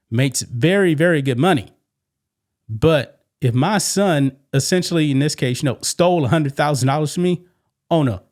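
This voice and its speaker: A man's voice: a little high, a little mellow. A man hosting a sports commentary show, giving casual opinions in a conversational way with rhetorical questions. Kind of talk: casual opinion commentary on sports news, reading quoted statements.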